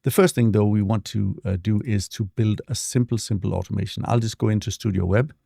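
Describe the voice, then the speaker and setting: A man walking through a software demo in a relaxed, explanatory way, with frequent fillers, speaking close to a desk mic. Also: lowish voice, brisk, vocal fry, no room sound. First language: not English. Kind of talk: screen-share tutorial walkthrough.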